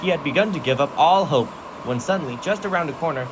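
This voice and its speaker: A man's voice: on the high side, animated, fast talker, background noise. A man narrating a scripted children's story in storybook style. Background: music.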